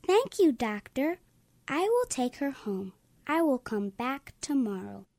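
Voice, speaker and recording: A woman reading a storybook aloud and voicing a gentle little girl who mothers her teddy bear, in a soft, coaxing voice pitched above her narration. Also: very animated, a little slow, slight background noise, heavily acted. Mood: pleading. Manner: soft, coaxing, higher and quieter than the narration.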